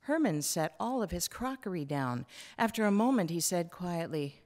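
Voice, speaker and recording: Female author for a live fiction reading, lower register, storyteller delivery. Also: expressive, moderate pace, darkish tone. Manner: storytelling, acts out dialogue. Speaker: author reading from own novel. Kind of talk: expressive literary reading to an audience. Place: live book launch in a hall.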